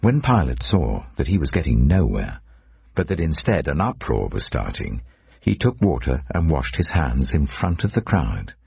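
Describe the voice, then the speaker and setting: Man, dramatic scripture narration, very deep, gravelly, lively intonation, dry sound. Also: normal speed, no echo. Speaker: audiobook narrator.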